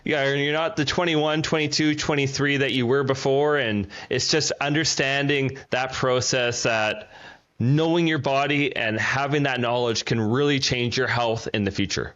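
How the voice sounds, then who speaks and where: A man's voice: mid-range voice, fairly even intonation, normal speed, a bit bright. A man in his thirties, an athlete speaking in casual podcast chat, earnest and reflective in long flowing sentences. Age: 30s. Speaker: para cycling athlete. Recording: dull lo-fi sound.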